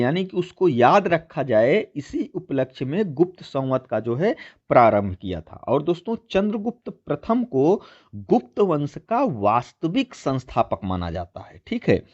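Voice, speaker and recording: A man's voice: a little high, very animated, a little slow, a little mellow, lo-fi sound. A man teaching an exam-prep lesson, addressing listeners as friends and repeating key points as he explains. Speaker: teacher hosting a history quiz channel.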